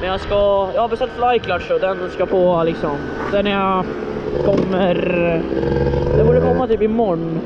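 A man's voice: sits high, fairly even intonation. A young man chatting casually while riding a moped outdoors, loose and a bit sweary, recorded on an action camera. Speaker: moped vlogger. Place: outdoors on a moped, bike paths and parking lot. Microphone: helmet or handlebar action camera. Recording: muffled with loud wind and engine noise. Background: moped engine and wind.

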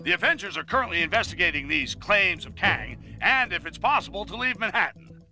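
An actor playing a cranky, blustering middle-aged newspaper boss ranting a bulletin in a cartoon game, brash and indignant. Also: male voice, high voice, very animated, brisk, pushed and bright, faint music behind, heavily acted. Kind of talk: cartoon game rant delivered as news bulletin.

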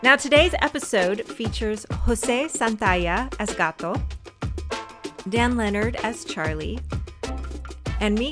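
Brief: cheerful woman host, sing-song credits, music behind. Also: on the high side. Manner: upbeat, sing-song sign-off. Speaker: podcast host. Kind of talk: closing credits and announcements.

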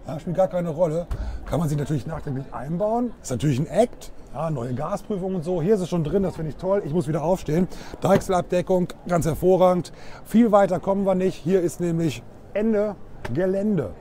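A middle-aged man hosts a casual product tour. His voice sits a little high but stays soft-edged and relaxed. He chats colloquially, as if showing a friend around. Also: brisk. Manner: chatty, colloquial, addresses viewer directly. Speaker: vlog host presenting caravans. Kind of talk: casual walk-through product presentation. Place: caravan trade fair hall and inside a caravan. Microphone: wireless clip-on microphone.

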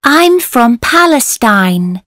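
A woman reading textbook dialogue lines slowly and carefully as a model for learners. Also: on the high side, sing-song, fairly bright tone, dry recording, clean studio sound.

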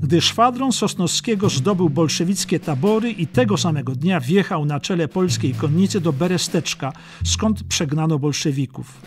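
High-pitched man narrating over music. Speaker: audiobook narrator. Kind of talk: scripted documentary history narration.

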